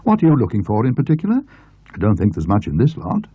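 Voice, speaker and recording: Narrator as a breezy, lively English gentleman. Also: medium pitch, fast talker, slightly rough, pitched above the performer's own voice. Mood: musing, breezy. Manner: breezy, talkative, rambling. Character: chatty, self-absorbed bearded gentleman book-hunter. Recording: muffled, roomy old audio recording.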